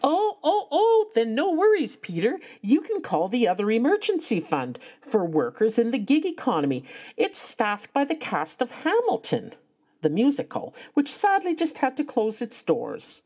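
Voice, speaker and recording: A woman's voice: fairly high pitch, wildly swooping pitch, moderate pace, darkish tone, heavily acted. A woman playing a chirpy young bartender who now answers calls for the unemployment office. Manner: bubbly, breezy.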